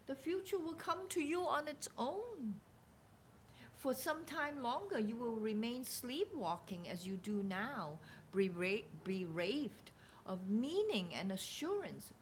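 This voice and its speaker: A woman reading spiritual teachings aloud in a deliberate, emphatic way, sometimes dropping toward a breathy near-whisper. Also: high voice, slight background noise, rough recording. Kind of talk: slow dramatic reading of spiritual dialogue with casual asides.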